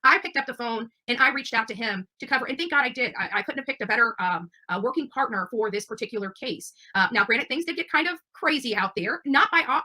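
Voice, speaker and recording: Fast, bright-voiced woman livestreamer. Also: a little high, rough recording. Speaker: livestream channel host. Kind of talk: casual livestream monologue to audience.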